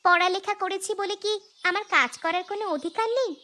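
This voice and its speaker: A woman's voice: very high voice, a little fast, pushed and bright, faint music behind. A woman voicing a helpful, determined young village schoolgirl in a cartoon fairy tale, speaking earnestly and insistently.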